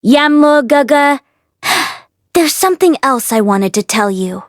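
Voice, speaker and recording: A woman voicing a helpless ghost girl in an audiobook, pleading haltingly in a wavering voice broken with sobs. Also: high voice, animated, dry recording, clean studio sound. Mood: tearful pleading. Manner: halting, repeating pleas.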